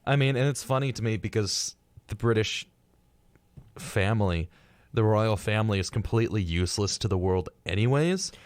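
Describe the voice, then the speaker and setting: A man's voice: fairly low pitch, moderate pace, dry recording. A man co-hosting a casual podcast discussion, reading out facts in a dry, dismissive way. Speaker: podcast co-host.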